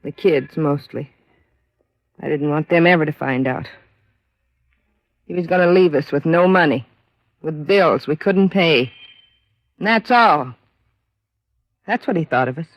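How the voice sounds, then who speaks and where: An actress playing a bitter, grieving American widow and mother in an old radio crime drama, speaking plainly in weary, halting phrases. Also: low voice, no echo. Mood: bitter, wounded. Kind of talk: 1950s radio drama acting. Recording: muffled vintage radio recording.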